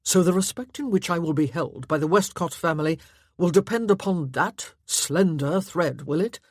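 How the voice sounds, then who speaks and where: A woman's voice: lowish voice, moderate pace, darkish tone, dry recording, studio quality, close to an everyday voice. A woman voicing a curt, stubborn, sarcastic husband in a period romance audiobook, with clipped formal phrasing. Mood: bitter.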